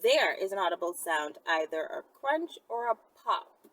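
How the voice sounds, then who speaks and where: A woman's voice: on the low side, very animated, a little slow, fairly bright tone. A woman chatting casually and candidly to viewers as a vlogger, in a rambling, playful, slangy way. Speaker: vlogger answering viewer questions. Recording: noisy, distant mic.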